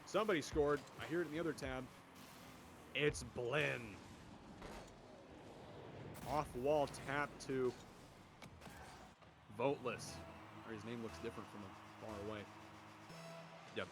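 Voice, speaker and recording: A young man with a deep voice streaming esports commentary from home, chatting with viewers and calling plays. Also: unhurried, long pauses, background noise. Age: young adult. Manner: rambling, chatty, restarts often, bursts louder on goals. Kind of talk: casual solo game commentary and chat reading. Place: home room.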